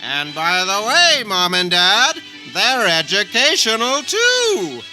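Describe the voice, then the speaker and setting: A woman playing a brash toy commercial pitch person in a parody radio ad, with exaggerated salesy enthusiasm. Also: huge pitch swings, slow, pushed and bright, soft background music, full character voice. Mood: hyped, selling.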